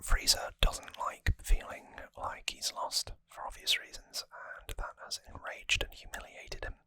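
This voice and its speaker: An ASMR host whispering an informal story recap in a soft, breathy, unvoiced voice, close to the microphone. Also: measured pace. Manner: soft-spoken, informal, explanatory. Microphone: close microphone, dry.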